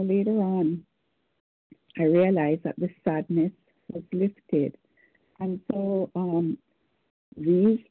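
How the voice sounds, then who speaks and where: A woman from Canada, speaking earnestly and hesitantly, with frequent ums. Her voice is husky and dry. The call comes through a narrow, phone-like line. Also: fairly low pitch, no echo. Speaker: meeting participant. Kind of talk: sharing at a phone support meeting.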